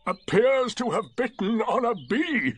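A man voicing a gloomy, sarcastic storybook donkey with a slow, mournful drawl. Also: very high-pitched, lively intonation, slightly rough, voice pushed up, exaggerated voice. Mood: dry, fussy. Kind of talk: storybook character voice.

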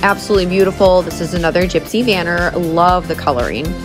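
A woman's voice: on the low side, fast, over music. A woman in her thirties narrating a casual vlog in a gushing, enthusiastic manner. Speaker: vlogger and horse owner.

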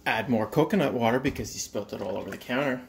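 A man in his thirties hosting a playful how-to cooking vlog at home with mock-serious, deadpan humour. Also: medium pitch, fast talker, vocal fry, slight background noise. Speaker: cooking show host. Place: home kitchen and dining room.

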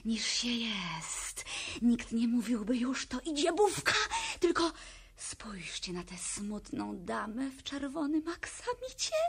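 A woman's voice: a little high, big pitch swings, slow pace, creaky, husky, full character voice. An actress playing a melodramatic young girl creature in a radio play, tearful and exaggerated. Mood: dreamy, tearful. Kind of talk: Polish radio play acting.

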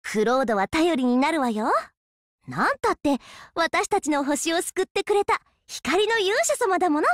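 A woman's voice: high voice, animated, normal speed, no room sound, clean studio sound. An actress playing a sweet, caring teenage healer girl in a fantasy game, with a girlish, polite manner. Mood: earnest, caring. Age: young adult. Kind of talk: Japanese RPG character voice lines.

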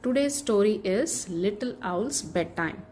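A woman reading a children's picture book aloud as a bedtime story, in an expressive, deliberate storytelling manner with drawn-out phrases. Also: mid-range voice, a little hiss or hum.

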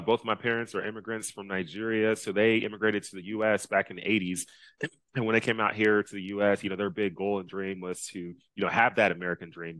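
A deep-voiced man in his thirties, from Texas, speaks as a guest on a video call through a headset. He sounds upbeat and laughs easily, filling his sentences with "you know" and "um". The sound is dry and close, with no room echo. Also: a bit bright. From Dallas, Texas. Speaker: guest, Navy officer and race driver. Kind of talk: casual video-call interview. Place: small indoor room.

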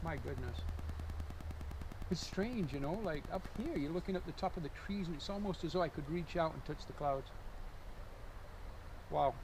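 An older man talking casually to camera outdoors, musing with pauses and repeating words for emphasis. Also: on the high side, expressive. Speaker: landscape photographer, vlogger. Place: outdoors in woods and open field. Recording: outdoor ambient noise.

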